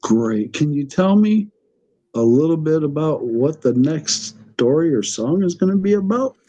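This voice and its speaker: A man's voice: a little high, animated, measured pace, dark tone, highs cut off. A middle-aged man hosting a podcast, chatty and enthusiastic, laughing often as he talks. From United States. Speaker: podcast host. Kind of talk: casual interview chat.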